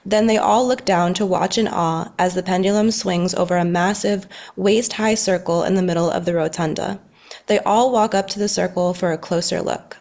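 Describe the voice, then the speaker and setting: A woman's voice: on the low side, fairly even intonation, moderate pace, darkish tone. A woman narrating scripted stage directions for a story read-through.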